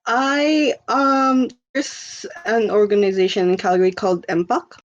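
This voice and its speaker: A woman's voice: mid-range voice, fairly even intonation, a little slow, lo-fi sound. A woman in her twenties from the Philippines, a podcast guest chatting casually with lots of fillers and easy laughter. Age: 20s. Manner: rambling, filler-heavy, laughs easily. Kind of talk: casual chat.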